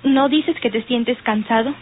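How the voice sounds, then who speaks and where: An actress plays a determined young daughter in a radio serial. Her voice is high and composed. The old recording is thin and phone-like. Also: a little slow, natural voice. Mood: firm. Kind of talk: old radio soap acting. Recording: tinny old radio recording, faint noise.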